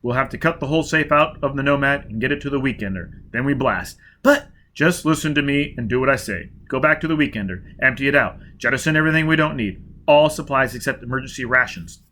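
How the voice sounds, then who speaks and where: A man voicing a brutal, driven spacer who barks clipped, loud orders in an audiobook reading. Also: mid-range voice, quick pace, hardly any pauses, slight background noise, lightly acted. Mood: urgent.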